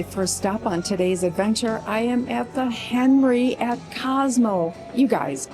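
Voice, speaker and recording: Chatty woman vlogger with a crackly voice. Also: mid-range voice. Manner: chatty and enthusiastic. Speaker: vlog host and tour guide. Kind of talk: casual vlog chat to camera. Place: restaurant, indoors.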